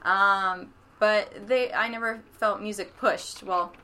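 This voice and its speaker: Female radio presenter as interviewee: bright tone, casual and chatty, emphatic, giggly delivery. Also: mid-range voice, fairly even intonation, slow pace, slight background noise.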